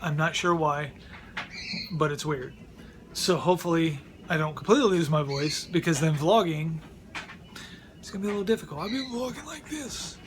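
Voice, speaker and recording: Middle-aged male vlogger, relaxed rambling delivery with lots of restarts, voice sitting a little high. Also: moderate pace, long pauses, background noise. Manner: rambling, repeats words and restarts often. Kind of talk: casual vlog chat. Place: at home. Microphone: close mic.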